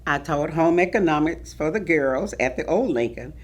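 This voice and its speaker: A woman's voice: low-pitched, lively intonation, moderate pace. A woman playing a dignified retired schoolteacher grandmother in a staged reading, recounting her career in a composed, precise way. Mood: dignified, proud. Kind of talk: dramatic staged reading of oral history.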